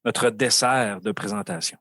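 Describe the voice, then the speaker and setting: Host, male, Québécois accent, lowish voice, dry close sound, halting and jokey. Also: fairly even intonation, bright, forceful tone, no echo. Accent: Québécois French. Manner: hesitant, many fillers and repeated words, joking. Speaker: podcast host. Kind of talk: casual round-table hosting.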